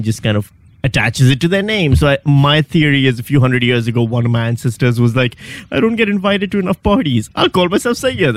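A man's voice: medium pitch, no echo. Thirtysomething guy from pakistan, wry self-mocking comic, lots of ums, dry sound. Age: 30s. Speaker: guest comedian. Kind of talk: comedic interview.

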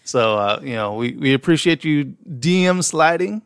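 A man's voice: on the high side, animated, normal speed, smooth, dry recording, clean studio sound. A man with an Upper Midwest American accent, co-hosting a casual podcast, telling stories and reading out listener messages, with some profanity. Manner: storytelling, reads out messages, profane. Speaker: podcast co-host.

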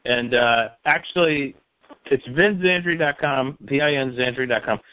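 A man's voice: medium pitch, measured pace. A man guest in a casual chat, talking loosely with lots of fillers and the odd curse. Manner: chatty, hesitant fillers, swears casually. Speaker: author guest. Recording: dry, dull lo-fi sound.

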